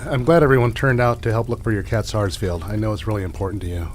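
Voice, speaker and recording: A man's voice: lowish voice, quick pace, lightly acted. A man playing a mild small-town doctor in a comedic audio drama. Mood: kind, subdued. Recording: noticeable background ambience noise.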